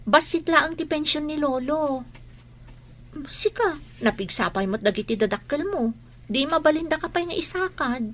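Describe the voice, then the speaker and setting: A woman's voice: high-pitched, animated, moderate pace, fairly bright tone. A woman playing an eager, slightly worried young schoolgirl in a radio drama. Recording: faint background noise.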